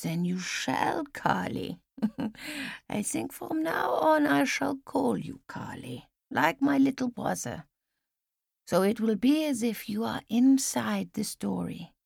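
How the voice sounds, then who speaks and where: A woman as a frail, measured old lady storyteller. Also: fairly high pitch, lively intonation, creaky, slightly rough, dry recording, clean studio sound, exaggerated voice. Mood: kindly. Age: older. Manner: measured, formal, gently insistent speech with few contractions. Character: frail elderly German woman, earnest storyteller in care.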